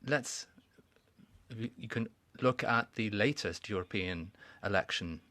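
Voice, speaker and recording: A man with a fairly low voice delivers formal welcoming remarks at a conference. He speaks slowly and deliberately, pausing and restarting as he goes, in a dry sound with little room echo. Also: sharp tone. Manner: measured, formal address with pauses and restarts. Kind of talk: interpreted welcoming speech. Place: conference hall.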